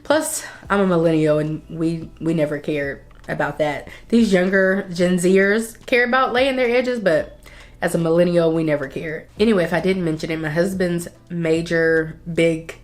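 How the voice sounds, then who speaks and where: A woman's voice: lowish voice, steady tone, moderate pace. A woman in her thirties chatting casually to camera as a vlogger. She sounds stuffy and congested and rambles with sighs and coughs. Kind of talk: casual chat.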